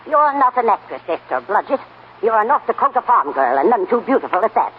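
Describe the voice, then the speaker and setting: A scornful farm aunt played by an actress scolds with harsh, animated swings of pitch. It is 1940s radio acting on a tinny recording with clear noise. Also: female voice, brisk, close to an everyday voice. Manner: harsh, belittling.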